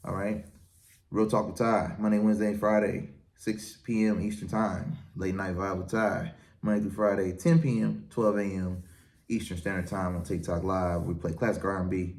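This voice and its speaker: A man's voice: sits low, darkish tone. A man who works as a wedding and event DJ, hosting his own show and giving casual, confident advice with a strong, projecting voice. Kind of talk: casual advice talk to camera.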